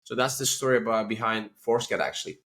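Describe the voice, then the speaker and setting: A man with a Turkish accent talks as the guest of a business interview, speaking at an ordinary middle pitch. He explains things in an animated, talkative way and keeps leaning on "you know" and "like" as he goes. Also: quick pace. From Turkey. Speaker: company CEO, podcast guest.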